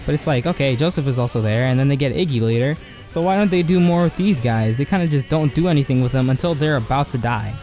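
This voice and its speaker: Hoarse, rambling young-sounding man. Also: medium pitch, fast talker, hardly any pauses, a little mellow, faint music behind. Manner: rambling and slangy, heavy on filler words. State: hoarse, voice cracking. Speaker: video essay host. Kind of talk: casual anime commentary monologue.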